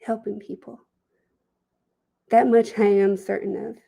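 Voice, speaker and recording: A woman performing a dramatic audition monologue as an earnest, reflective, idealistic young person, speaking deliberately. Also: medium pitch, flat delivery, vocal fry, mellow, breathy edge. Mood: reflective, determined.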